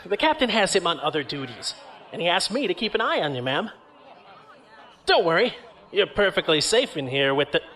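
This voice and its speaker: A man's voice: high-pitched, sing-song, moderate pace, long pauses, bright, forceful tone, full character voice. An actor playing a loyal young starship crewman with a casual, reassuring manner in an audio drama.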